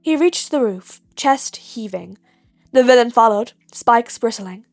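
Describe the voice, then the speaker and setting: A woman's voice: fairly high pitch, lively intonation, a little slow, vocal fry, dry recording, faint music behind. A woman reading a scripted fan fiction story aloud as its narrator. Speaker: podfic narrator.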